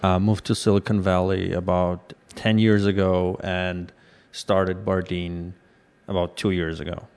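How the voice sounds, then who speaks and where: Man, low voice, thoughtful. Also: level tone, measured pace, darkish tone, clear, resonant tone. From Armenia. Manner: thoughtful, hesitant with fillers and restarts. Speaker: startup co-founder, guest. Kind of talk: conversational interview answers.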